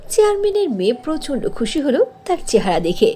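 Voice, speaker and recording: A woman's voice: high-pitched, very animated, quick pace, warm tone, slight background noise. A woman narrating a scripted cartoon story in a storybook style.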